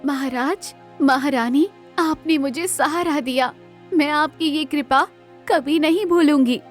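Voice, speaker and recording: A woman's voice: high-pitched, unhurried, faint music behind, voice pushed up. A woman voicing a humble, grateful young orphaned villager in a cartoon folk tale, with a plaintive delivery. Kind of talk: dramatised cartoon storybook dialogue.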